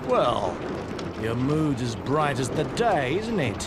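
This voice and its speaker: An actor playing a cheeky, grumbling builder of a fantasy watch in a video game, full of banter. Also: male voice, mid-range voice, big pitch swings, slow, very noisy. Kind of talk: video game cutscene acting.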